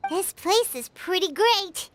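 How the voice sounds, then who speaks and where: A woman voicing a small, cheerful, childlike female fairy companion in an anime-style video game, squeaky and bubbly. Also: lively intonation, takes it slowly, a little hiss or hum, heavily acted. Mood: chirpy, curious. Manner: bubbly, refers to self by name. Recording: loud music behind the voice. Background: game music.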